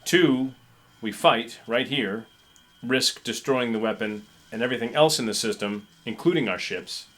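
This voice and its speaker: A man's voice: mid-range voice, moderate pace, fairly bright tone. A man playing in a tabletop roleplaying game, talking casually and sometimes speaking as his character.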